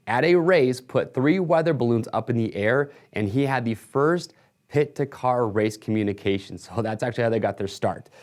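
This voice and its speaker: Male product presenter, medium pitch, casual and explanatory, walking viewers through gear for a retailer video. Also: a little mellow. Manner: casual, explanatory sales patter.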